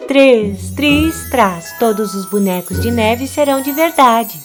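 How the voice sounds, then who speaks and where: A kind, confident little cartoon witch, chirpy and high, with a Brazilian accent. She speaks playfully, as if casting happy spells, with light music behind her. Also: lively intonation, slow pace. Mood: cheerful, confident. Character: cheerful young witch girl, kind and confident. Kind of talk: children's cartoon dubbing.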